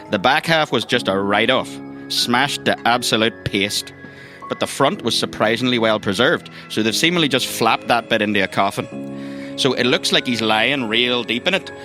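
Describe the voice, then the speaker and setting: An actor playing a chatty, thirsty agency boss in a comedic audio drama, with a Northern Irish accent and a rambling, hesitant, colloquial way of talking. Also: male voice, mid-range voice, bright, forceful tone. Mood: gossipy. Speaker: mission giver briefing recruits.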